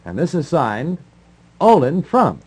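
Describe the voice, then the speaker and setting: Man, 1950s radio announcer, playful, mock-serious, very varied pitch, bantering. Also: huge pitch swings, slow, darkish tone, breathy edge.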